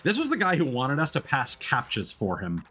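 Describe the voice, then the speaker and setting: A man's voice: mid-range voice, huge pitch swings, moderate pace, sharp tone. A man doing casual video game livestream commentary, talking through what is on screen as he plays. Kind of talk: casual game stream commentary, reading dialogue aloud. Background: background music.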